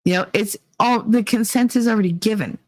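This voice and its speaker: A woman's voice: medium pitch, fairly quick, no room sound. Woman venting on her vlog, blunt and swears a lot, wanders off track, voice in the middle, dry sound. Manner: blunt, profane and rambling. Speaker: vlog host. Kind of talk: casual opinion monologue.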